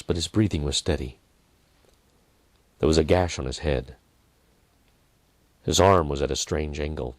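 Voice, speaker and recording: Very deep, gravelly male storyteller. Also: dry recording. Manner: measured, with dramatic pauses and hushed phrases. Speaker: storyteller reading own story. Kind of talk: dramatic scripted narration.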